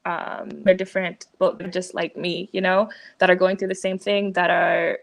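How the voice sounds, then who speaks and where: A woman answering a panel question in a flat, even voice that barely rises or falls. She talks casually and hesitantly, leaning on "you know" and "like" between thoughts. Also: lowish voice, normal speed, creaky. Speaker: panel guest. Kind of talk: panel discussion.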